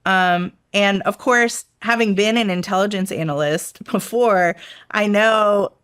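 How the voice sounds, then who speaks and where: A woman's voice: mid-range voice, measured pace, a bit bright, smooth. A middle-aged woman, a former intelligence analyst, speaking casually in an interview, with many fillers and restarts. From United States, raised in Washington DC area. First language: Italian.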